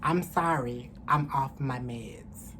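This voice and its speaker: A young woman with a low voice talks casually to the camera as a vlogger. She tells her story candidly, with slangy, salty language. She is in a small room, and there is faint noise behind her. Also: slow pace. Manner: candid, animated, profane slangy talk. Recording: clearly noisy room ambience.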